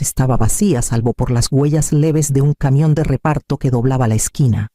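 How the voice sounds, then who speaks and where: Male story narrator, very mellow tone, deliberate scripted delivery, short phrases, dry studio-like sound. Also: on the high side, no echo. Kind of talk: scripted dramatic narration, first-person story.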